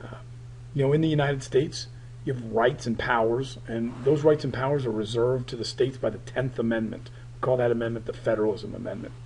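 A male political science teacher giving a recorded screen lecture, his voice raspy while it recovers, talking in a halting way with many fillers. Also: medium pitch, steady tone, darkish tone, a little hiss or hum, low-quality recording.

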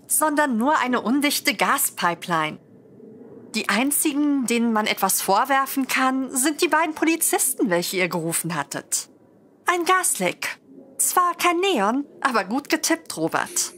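A woman performs a bossy young woman who mothers her bickering friends in an audio drama. Her high voice swings animatedly as she scolds and teases with firm authority. Faint ambient noise sits behind her. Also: pushed and bright, heavily acted.

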